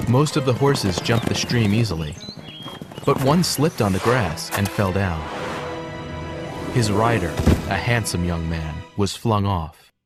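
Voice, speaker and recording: Male horse narrator, low pitch, earnest, measured, animated storybook, clear background music. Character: grown male horse telling his life story, gentle.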